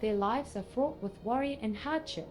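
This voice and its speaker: A woman's voice: fairly high pitch, moderate pace, a little mellow, lightly acted. A woman voicing a resolute female admiral, formal and businesslike, in a scripted video game scene. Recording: music behind.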